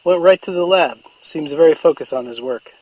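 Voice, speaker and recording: An actor playing a matter-of-fact, joking engineer at a rocket base. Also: male voice, a little high, fairly quick, crowd noise, close to an everyday voice, rough recording. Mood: casual. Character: male engineer on an air base.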